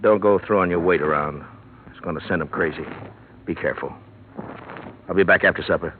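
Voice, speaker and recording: An actor playing a troubled small-town sheriff in a 1950s radio drama, steady and plain-spoken. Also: male voice, low-pitched, fairly quick, very noisy, close to an everyday voice. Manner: shouting over a mob, then quiet and troubled. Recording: tinny lo-fi old radio broadcast.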